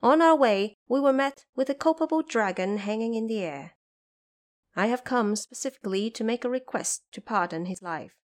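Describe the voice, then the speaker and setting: A woman voicing a serene, merciful goddess who speaks formally and with calm authority in an audio drama. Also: fairly high pitch, close to an everyday voice. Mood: humble, formal. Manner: formal, measured, assured. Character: serene, merciful female Bodhisattva, authoritative divine being.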